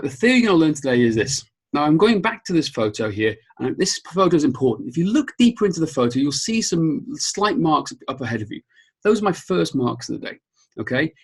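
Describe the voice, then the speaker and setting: Husky Welsh man coaching upbeat. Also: mid-range voice. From Wales. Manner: upbeat, often checks in with "okay?". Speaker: strength coach. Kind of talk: webinar presentation.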